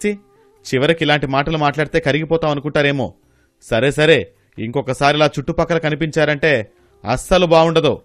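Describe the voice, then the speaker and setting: A man's voice: a little high, fairly quick, pauses often, no echo, faint music behind, lightly acted. A man voicing an angry, threatening security guard in a story. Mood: contemptuous warning. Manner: stern, threatening. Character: angry scolding male security guard of a rich house.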